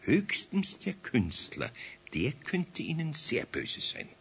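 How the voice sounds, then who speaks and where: A man's voice: fairly high pitch, huge pitch swings, slow, vocal fry, a bit bright, slightly rough, heavily acted. An actor playing a good-natured older master craftsman with a Bavarian accent, homely and patient in a hesitant way, in a children's radio play. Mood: amused. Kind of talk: 1970s German children's radio play acting.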